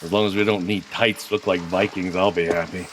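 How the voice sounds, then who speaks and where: An actor playing a gruff, terse male agent with a military background. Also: sits low, steady tone, fairly quick, long reverb tail, noisy background, lightly acted. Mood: grumbling.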